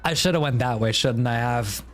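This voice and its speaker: A man's voice: medium pitch, brisk, slight background noise. A man streaming a game with casual commentary, making wry, self-deprecating asides. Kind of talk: casual livestream commentary and reading game dialogue aloud.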